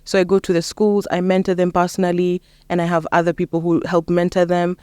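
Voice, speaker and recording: A woman's voice: lowish voice, little pitch movement, brisk, darkish tone, clear, resonant tone, dry recording, studio quality. A young woman with a Kenyan accent, chatting casually as an interview guest. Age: young adult. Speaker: interview guest, lawyer and mentor.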